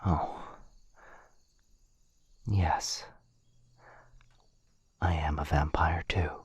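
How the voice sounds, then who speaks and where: A man playing a gentle, protective aristocratic vampire lord in an intimate ASMR roleplay, speaking in a hushed, husky near-whisper with hesitant, reassuring pauses. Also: a bass voice, steady tone, exaggerated voice. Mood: calm, earnest. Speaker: voice actor in audio roleplay.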